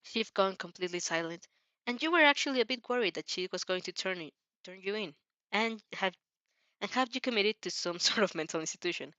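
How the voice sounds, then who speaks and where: Giggly, bright-voiced woman reading fan fiction. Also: mid-range voice, fairly quick, dry recording, lo-fi sound. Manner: giggly, excitable, often breaking off to comment. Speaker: channel host and fanfic reader. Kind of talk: reading fan fiction aloud with casual reactions.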